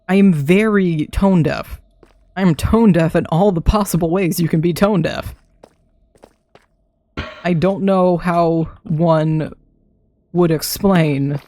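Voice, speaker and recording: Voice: medium pitch, darkish tone, no room sound. A young adult streamer chatting casually to themself while playing a game, laughing often, throat a little dry. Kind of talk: casual live gameplay commentary.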